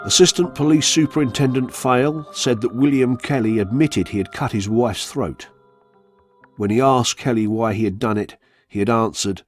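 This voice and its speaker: A man reading a scripted true-crime story for radio in a measured, factual storytelling manner. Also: lowish voice, a little mellow. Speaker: narrator.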